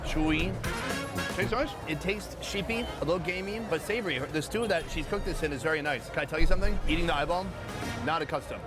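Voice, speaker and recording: A man's voice: fairly high pitch, quick pace, loud music behind the voice. A man hosting a food travel vlog, describing dishes with playful enthusiasm at a busy outdoor market. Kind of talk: travel vlog narration and chat.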